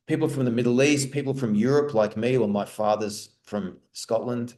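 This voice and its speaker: An Australian man answering webinar questions in a relaxed, rambling way, restarting his sentences often. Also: medium pitch, normal speed. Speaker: guest speaker. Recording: roomy echo.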